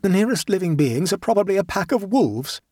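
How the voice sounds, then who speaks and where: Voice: medium pitch, sing-song, fairly quick, breathy edge, no echo, studio quality, pitched above the performer's own voice. A narrator voicing an anxious, dramatic male train traveller in a storybook, urgent and tremulous.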